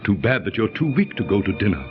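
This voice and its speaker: An actor playing a cautious, grave judge and loyal friend, in earnest, theatrical 1930s radio style. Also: male voice, lowish voice, big pitch swings, fast talker. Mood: grave, sorrowful. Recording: muffled 1930s radio recording, music behind.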